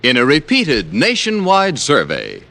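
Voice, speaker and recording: A man's voice: mid-range voice, wildly swooping pitch, a little slow, vocal fry, sharp tone. An American man working as an old-time radio announcer, reading adverts and credits with emphatic, polished salesmanship. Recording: old radio broadcast with music.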